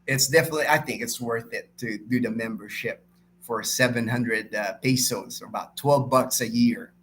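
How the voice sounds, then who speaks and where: A man's voice: mid-range voice, moderate pace, a bit bright. Middle-aged man, from the Philippines, informal live stream host, repetitive casual chat, mid-range voice, noisy background. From Philippines, born and raised in Manila until twelve. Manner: informal, repetitive, often thanking viewers.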